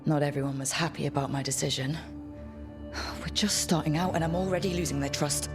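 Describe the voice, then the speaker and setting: A young leader from a fantasy game speaks over music, played by an actor. The voice is medium in pitch, earnest and frank, with some self-doubt. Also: fairly quick, natural voice. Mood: troubled. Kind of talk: video game dialogue acting. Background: background music.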